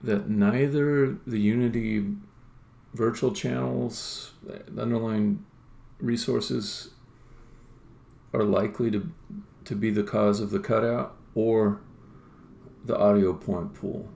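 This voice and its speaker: A man's voice: fairly low pitch, fairly even intonation, takes it slowly, creaky, long pauses, mellow. A man doing casual live-coding commentary as a game developer on a stream, muttering to himself in short, informal remarks as he thinks aloud. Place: indoor room at a computer. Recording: roomy echo, faint background noise, keyboard typing.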